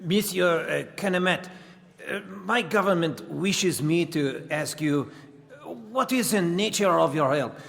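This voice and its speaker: An actor playing a polite, hesitant delegate in a radio drama, formal and halting, in an echoing hall. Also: male voice, a little high, gravelly, a bit bright. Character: hesitant, polite French delegate at an international assembly.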